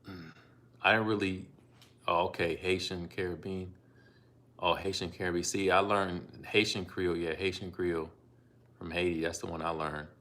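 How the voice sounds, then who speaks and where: Deep-voiced man chatting casually on a phone stream. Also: steady tone, a bit bright. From Ohio. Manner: laid-back, unhurried, with frequent ums and asides. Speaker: livestreamer and language learner. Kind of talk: casual live-stream chat reading donations.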